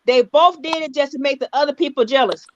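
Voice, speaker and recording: A woman's voice: high voice, fast, a little hiss or hum. A woman gossiping bluntly on a video call, repeating herself and using slang. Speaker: co-host on a call-in video chat. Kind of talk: casual gossip chat. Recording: slightly dull video call audio.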